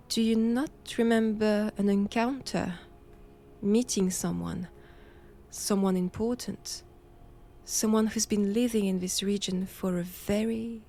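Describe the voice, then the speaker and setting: A woman acts out a menacing, knowing nun captor from an old monastery tale. Her French-accented speech is very slow and measured, at a medium pitch. She teases in formal, old-fashioned phrases, intimate as a roleplay audio drama. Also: dark tone, a little hiss or hum. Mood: amused, teasing.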